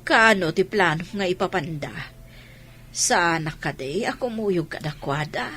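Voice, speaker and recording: A woman with an Ilocano accent playing a gentle, weary, supportive mother in a radio drama. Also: fairly low pitch, animated, measured pace, slightly rough, full character voice.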